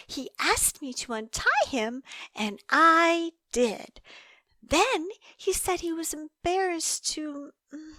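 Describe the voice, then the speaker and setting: Yandere girlfriend type, young adult played by a woman, audio roleplay; very slow, animated delivery, coy, obsessive and fragile. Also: high voice, no room sound, heavily acted. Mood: hurt, wistful. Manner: coy, halting, breathy hushed asides, emotional swells. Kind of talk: audio roleplay monologue, intimate dramatic acting.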